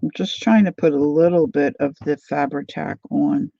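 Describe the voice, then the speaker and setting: A woman's voice: low-pitched, fairly even intonation, moderate pace, vocal fry, mellow, dry recording. A woman in her sixties with a Philadelphia accent, who hosts a casual craft livestream, chatting in a rambling way while she reads out viewer comments. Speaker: craft channel host. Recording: dull lo-fi livestream audio.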